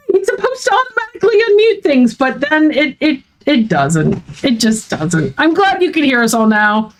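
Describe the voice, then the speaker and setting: High voiced lady on a stream, rambly and wry, just chatting. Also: slight background noise. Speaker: livestream co-host. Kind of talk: casual livestream chat.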